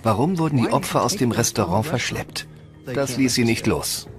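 A man voicing a measured, explanatory commentator in a crime documentary dub. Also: mid-range voice, lively intonation, close to an everyday voice. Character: male commentator recounting a murder investigation. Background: prominent music.

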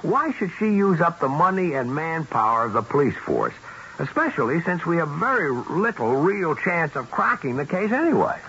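An actor playing an earnest, insistent, principled police detective in a 1970s radio drama, speaking plainly. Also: male voice, fairly high pitch, very animated, normal speed, a bit bright. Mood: impassioned. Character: dogged, principled police detective, a man standing up for victims. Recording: dull, hissy 1970s radio broadcast.

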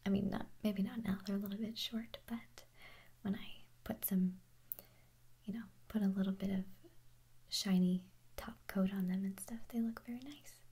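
A young woman answers viewer questions in a soft, airy whisper for an ASMR video. Her tone is mellow and slightly husky. She talks in a loose, rambling way, often restarting her sentences. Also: medium pitch, steady tone, normal speed. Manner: chatty, rambling, self-correcting, giggly.

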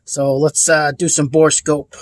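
A somewhat high-voiced man talks through a do-it-yourself car repair outdoors in a rambling, down-to-earth way, pausing often with fillers. His voice is close and dry, picked up by a handheld phone. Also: flat delivery, no echo. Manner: rambling, plainspoken, frequent uh fillers. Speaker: DIY car repair vlogger. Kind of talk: casual hands-on repair walkthrough. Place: outdoors at a car engine bay.

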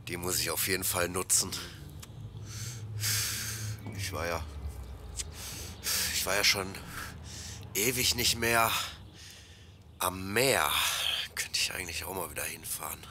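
A man playing a slow, low-voiced slacker. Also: pushed and bright, slight background noise, exaggerated voice. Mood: wistful, relaxed. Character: Plex, easygoing work-shy man musing to himself. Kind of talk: German audio play monologue.

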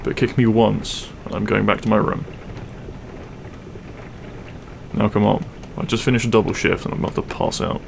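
A man playing a grumpy but tender half-dragon roommate in an intimate ASMR roleplay, gruff, sleepy and halting in a soft voice. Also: fairly low pitch, flat delivery, noisy background. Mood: grumpy, reluctant. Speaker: roleplay voice actor. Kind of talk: intimate ASMR audio roleplay, comfort scene.

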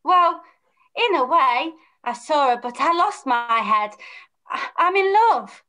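A woman's voice: high voice, animated, slow, pushed and bright, exaggerated voice. A woman playing an eager, lovestruck young boy hero, reading earnestly in an amateur pantomime rehearsal on a video call. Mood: eager, smitten. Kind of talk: amateur pantomime read-through. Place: small room, on a video call.

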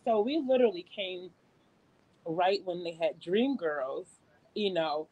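A woman's voice: lowish voice, animated, measured pace, dark tone, lo-fi sound. Woman guest on a video call, storytelling, easy laughter, loose restarts. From upstate New York. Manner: laughs often, rambling with restarts. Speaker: guest, executive producer. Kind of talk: casual interview storytelling.

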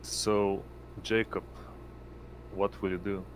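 A man running an online tabletop game as game master, speaking haltingly and hesitantly. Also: on the low side, level tone, slight background noise. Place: indoors at home, online voice call.

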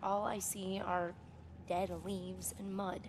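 Game casting: guarded teenage-to-twenties girl medium, snappy and sardonic, mid-pitched, ambience noise behind. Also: level tone, slow, darkish tone. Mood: anxious. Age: young adult. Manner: curt, sarcastic. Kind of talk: video game cutscene acting.

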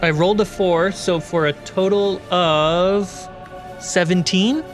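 A man running a tabletop game as game master, narrating dramatically with deliberate pauses. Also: sits high, fairly even intonation. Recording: background music under the voice.

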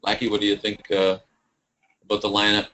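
Bright-voiced joking brewery man. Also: a little fast, a little hiss or hum. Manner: relaxed, joking, swings up in pitch. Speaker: brewery guest. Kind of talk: casual interview chat.

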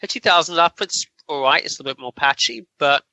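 Bright, forceful-voiced man chatting on a podcast. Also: a little high, fast talker, no echo, highs cut off. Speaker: podcast host. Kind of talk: casual film review chat.

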